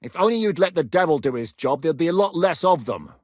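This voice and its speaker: An actor voicing a stern, battle-hardened middle-aged military commander in a video game, speaking bluntly and with authority. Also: male voice, fairly high pitch, expressive, fairly quick, darkish tone, no echo, studio quality. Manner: blunt, commanding, gruff counsel. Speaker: game character. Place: recording studio. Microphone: close studio mic.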